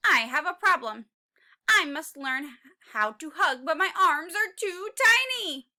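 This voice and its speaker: A woman's voice: high-pitched, expressive, unhurried, sharp tone, full character voice. A woman voicing a tiny, earnest young male T. rex in a picture book, determined and emphatic. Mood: eager, pleading.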